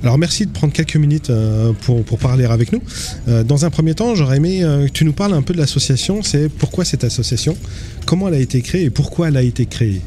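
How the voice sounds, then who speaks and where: Mellow-voiced male radio host. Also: on the high side, fast talker. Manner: relaxed, friendly, some hesitations. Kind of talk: live radio interview. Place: outdoor association fair.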